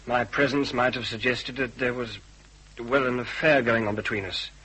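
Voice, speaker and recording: A man's voice: medium pitch, steady tone, normal speed, bright, forceful tone, husky, poor audio. A man playing an anxious, well-bred British husband in a radio drama, formal and stiff as he justifies himself with some hesitation. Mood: stiff, defensive. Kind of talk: radio detective drama acting.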